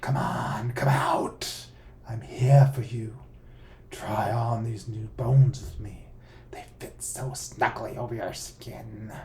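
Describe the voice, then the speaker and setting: A man voicing a sinister boogeyman from a horror story, whispery, slow and coaxing. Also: on the low side, steady tone, a little mellow, reverberant, a little hiss or hum. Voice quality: breathy, whispery. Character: sinister whispering boogeyman wearing a dead father's face.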